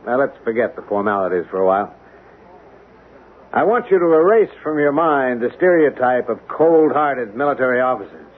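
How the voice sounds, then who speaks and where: A male actor playing an earnest, protective middle-aged colonel in a 1970s radio drama, speaking in a measured, emphatic way with pointed rhetorical questions. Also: medium pitch, sing-song. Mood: indignant, pleading. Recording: tinny, band-limited 1970s radio recording.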